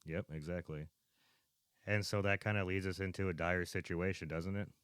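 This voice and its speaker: A man's voice: deep voice, fairly even intonation, normal speed, a bit bright, clean tone, no room sound, studio quality. An American man co-hosting a podcast, talking casually in political banter. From United States. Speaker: podcast co-host.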